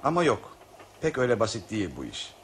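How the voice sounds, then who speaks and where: An actor playing a talkative, suspicious and self-important coffee waiter on a train in a radio drama. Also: male voice, medium pitch, wildly swooping pitch, fast talker, a bit bright. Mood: dismissive, wary. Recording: noisy radio play recording.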